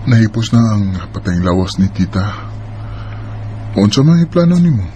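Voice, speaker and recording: A man's voice: on the low side, sing-song, normal speed. A man with a Visayan Filipino accent playing a devoted, easily startled young boyfriend in a radio drama. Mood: concerned.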